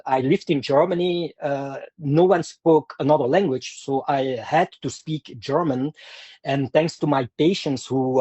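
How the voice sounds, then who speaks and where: Flemish-accented man, soft husky voice. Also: a little high, no echo. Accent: Flemish Belgian. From Belgium. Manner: hesitant, many fillers and restarts. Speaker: martial arts instructor, guest. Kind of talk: conversational interview.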